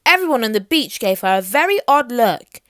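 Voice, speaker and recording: A woman reading a children's picture book aloud as an expressive storyteller. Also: fairly high pitch, a little fast, no echo, clean studio sound.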